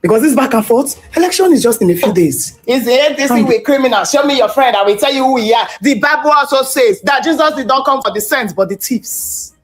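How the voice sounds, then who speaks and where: A woman's voice: high-pitched, lively intonation, quick pace, few pauses, faint music behind. A Nigerian woman hosting a political commentary vlog, talking bluntly and slipping Pidgin into her English. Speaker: host.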